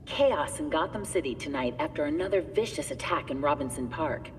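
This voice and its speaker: Low-voiced TV news reporter. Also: female voice, expressive, brisk. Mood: grave, urgent. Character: serious female TV news reporter. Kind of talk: broadcast news delivery within game cutscene. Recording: reverberant room, faint ambient noise.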